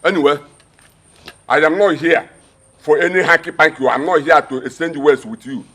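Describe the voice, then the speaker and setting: A man's voice: fairly high pitch, normal speed, fairly bright tone, husky. An actor playing a taunting, pushy male in-law who mocks and gives orders. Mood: scornful. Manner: mocking, commanding.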